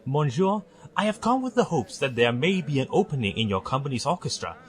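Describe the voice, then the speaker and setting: A man's voice: medium pitch, sing-song, brisk, close to an everyday voice. A man playing an earnest, formally polite pony father and violinist in an audio drama. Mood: polite, hopeful.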